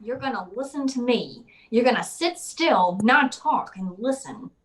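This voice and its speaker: Woman playing a world-weary tough lady private detective, higher-pitched. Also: animated, measured pace. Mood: tense, commanding. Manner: wry, commanding. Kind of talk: old-time radio detective drama acting.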